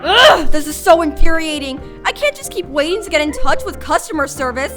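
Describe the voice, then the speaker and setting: A woman acts out a gushy, overdramatic shopper in a parody radio ad. Her high voice swoops widely over clearly audible music. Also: animated, fairly quick. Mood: exasperated. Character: exasperated, gushing female customer in an ad.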